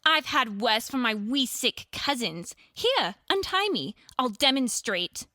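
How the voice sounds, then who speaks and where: Lady doing a feisty sassy dame, high n bright voice, sarcastic, defiant, audio drama. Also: big pitch swings, slow, no echo, clean studio sound, heavily acted. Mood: defiant sarcasm. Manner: sarcastic, sharp-tongued.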